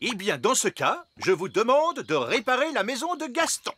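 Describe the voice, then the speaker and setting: A man's voice: very high voice, very animated, moderate pace, pushed and bright, a little hiss or hum, exaggerated voice. A man voicing a jovial, regal fairy king in a cartoon.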